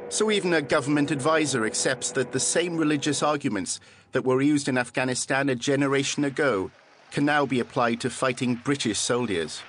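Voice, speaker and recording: Measured male documentary narrator. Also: medium pitch, a bit bright, slight background noise. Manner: measured, probing questions.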